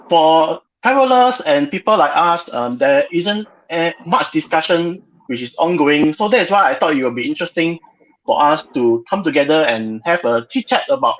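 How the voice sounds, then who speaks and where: A man's voice: fairly high pitch, fairly bright tone. A man moderating an informal online panel discussion as host, on a muffled video call.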